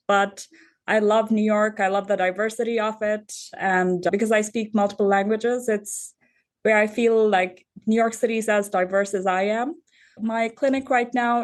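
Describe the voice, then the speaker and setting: A woman from Bangladesh, an endocrinologist, giving measured, methodical explanations as a podcast guest. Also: mid-range voice. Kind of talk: explanatory interview answers.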